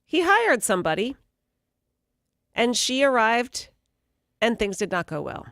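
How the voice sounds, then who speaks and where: A woman hosting morning radio, telling offbeat news stories in a brisk, amused way. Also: on the high side, very animated, a bit bright, dry recording, clean studio sound.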